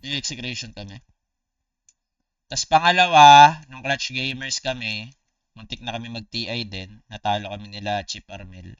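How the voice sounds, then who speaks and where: A Filipino man chatting casually on a livestream, telling stories in animated, slangy, swear-laden talk to his viewers. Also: medium pitch, moderate pace, smooth, no room sound, distant mic, lo-fi sound. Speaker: gaming streamer. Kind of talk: casual storytelling livestream chat.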